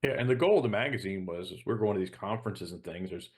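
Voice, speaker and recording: A man's voice: deep voice, lively intonation, fast talker, darkish tone. A middle-aged man co-hosting a show, explaining things in an earnest, conversational way. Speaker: show co-host.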